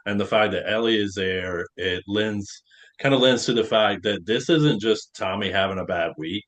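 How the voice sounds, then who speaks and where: A man with a deep voice speaks as a participant in a casual workshop discussion. He is measured and reasons his point through step by step. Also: fairly bright tone.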